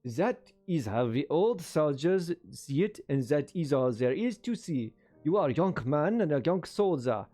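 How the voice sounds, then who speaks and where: A man's voice: fairly high pitch, big pitch swings, unhurried, hardly any pauses, mellow, faint music behind. A man voicing a stout, pompous German colonel in a novel reading, with a German accent and an emphatic, pedantic delivery. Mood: fervent.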